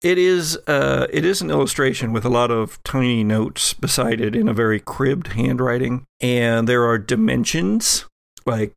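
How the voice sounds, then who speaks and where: Unhurried man narrating as game master. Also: mid-range voice, gravelly, dry recording, clean studio sound. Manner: measured, descriptive storytelling. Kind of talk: narrating a horror tabletop game for a podcast.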